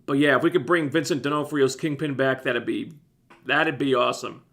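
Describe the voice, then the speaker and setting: A man hosting a casual livestream, with a phlegmy, scratchy throat, talking in a relaxed, rambling way that keeps breaking off and restarting. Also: medium pitch, fairly quick. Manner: relaxed, rambling, self-interrupting. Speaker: livestream host reviewing TV shows. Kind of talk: casual livestream chat answering viewers.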